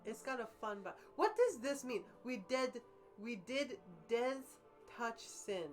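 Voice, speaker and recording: A woman's voice: a little high, slow, soft background music. A woman in a casual, playful back-and-forth, swearing freely and riffing on odd phrases, sometimes reading aloud with mock drama. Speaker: co-reader and commentator on a comedy reading stream.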